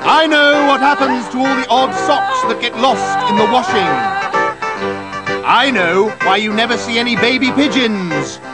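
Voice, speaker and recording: A man's voice: very high voice, unhurried, over loud music, pitched above the performer's own voice. A man voicing a pompous, cane-happy male schoolteacher in a children's story, exclaiming with sing-song relish. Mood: sly, boastful.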